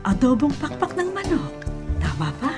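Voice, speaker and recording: An actress playing a caring, reassuring woman in a family radio drama, speaking gently and earnestly with a Filipino accent. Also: sits high, wildly swooping pitch, normal speed, music in the background. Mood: tender. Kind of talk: Tagalog radio drama acting.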